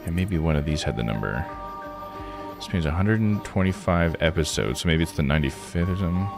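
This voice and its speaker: A man streaming a visual novel, thinking out loud in casual live commentary as he reads and puzzles. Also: deep voice, animated, a little fast, vocal fry, music in the background. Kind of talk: casual live game commentary, reading text aloud.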